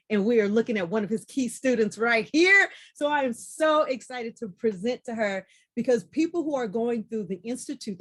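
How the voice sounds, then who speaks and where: A woman hosting a podcast in an excited, effusive way, often repeating phrases for emphasis. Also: medium pitch. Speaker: podcast host.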